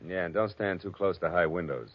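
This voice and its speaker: An actor playing a tough, wisecracking American private detective with a sardonic, clipped manner in 1940s radio style. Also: male voice, deep voice, fairly quick. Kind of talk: 1940s radio acting, hard-boiled first-person narration. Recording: dull old radio recording with music.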